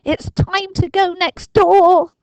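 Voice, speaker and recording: Woman doing a panicky high-voiced little boy. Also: fairly even intonation, takes it slowly, no room sound, heavily acted, poor audio. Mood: urgent. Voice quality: stammers when scared. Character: frightened excitable young boy.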